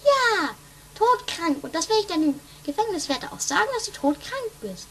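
A woman's voice: very high-pitched, big pitch swings, normal speed, a bit bright, a little hiss or hum, exaggerated voice. A woman voicing a bossy, impatient, flustered female schemer who stammers hectically in an improvised amateur comedy radio play. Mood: urgent.

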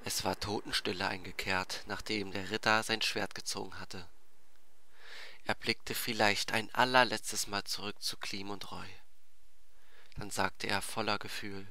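A man's voice: fairly low pitch, level tone, unhurried, vocal fry, pushed and bright, dry recording. A man narrating a comic fantasy tale in a theatrical, emphatic scripted style. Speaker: storyteller.

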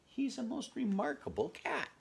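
Man reading as a gentle, expressive country vet. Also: very high-pitched, moderate pace, creaky, voice pushed up, heavily acted. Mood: amazed. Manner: soft-spoken, expressive.

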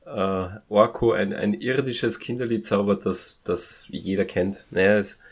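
A man's voice: fairly low pitch, a little slow, husky. A man chatting casually as a podcast co-host, feeling his way hesitantly through his sentences. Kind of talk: casual chat.

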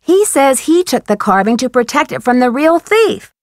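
An actress playing a bright, wry young woman detective in scripted video game dialogue. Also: sits high, big pitch swings, normal speed, no echo, clean studio sound. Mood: earnest, puzzled.

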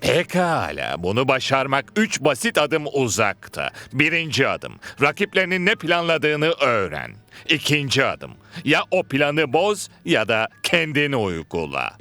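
An actor playing a scheming, dry coach in a cartoon, giving emphatic instructions. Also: male voice, mid-range voice, wildly swooping pitch, unhurried, few pauses, pushed and bright, no room sound, full character voice. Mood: calculating. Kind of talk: animated cartoon dub.

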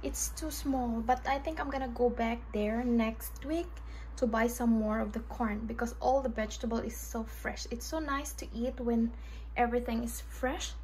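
A woman's voice: fairly high pitch, steady tone, normal speed, a little mellow, slight background noise, rough recording. A woman in her thirties vlogging in simple, non-native English, cheerful and chatty, filming on a phone she holds. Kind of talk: casual vlog chat. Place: outdoors on a vegetable farm, then a home kitchen. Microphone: phone camera in hand.

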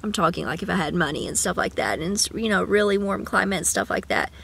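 A woman's voice: on the low side, quick pace, creaky, fairly bright tone, slight background noise. A young woman with a cough, chatting casually to the camera from a parked car, rambling with sing-song asides. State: sick with a cough. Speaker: vlogger. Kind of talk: casual chat. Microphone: camera at arm's length.

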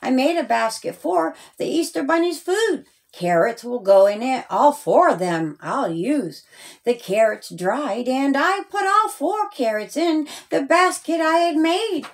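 A woman reading a rhyming children's story aloud in a deliberate, sing-song way, as a storyteller. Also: high voice, lively intonation.

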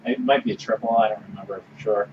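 Co-host, male, lower voice, blunt and dry, far from the mic with noisy hiss. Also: wildly swooping pitch, quick pace, gravelly. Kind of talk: casual beer-tasting chat. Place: small room with orange walls. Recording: distant, dull with loud hiss.

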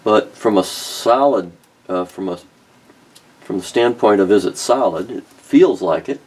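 A man's voice: lowish voice, a little slow, darkish tone, husky. A middle-aged man narrating a repair at his workbench in a casual, thinking-aloud way with many ums and restarts. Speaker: car restoration vlogger. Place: home workshop at a workbench.

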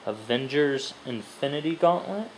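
A collector casually showing off finds, announcing items in short clipped phrases with long pauses. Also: mid-range voice, animated, low-quality recording. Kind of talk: casual comic haul show-and-tell, listing titles. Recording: background noise, amateur camera microphone.

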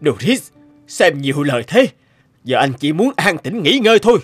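A weary older husband, animated delivery. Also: male voice, on the high side, moderate pace, faint music behind. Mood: irritated. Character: weary, curt man near sixty, workaholic husband and father. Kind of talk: animated drama dialogue.

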